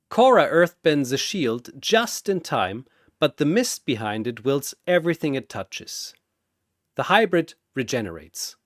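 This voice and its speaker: Man, animated storyteller, dramatic scripted fight narration, unhurried, emphatic, dry close sound. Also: a little high, no room sound. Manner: theatrical, intense, emphatic.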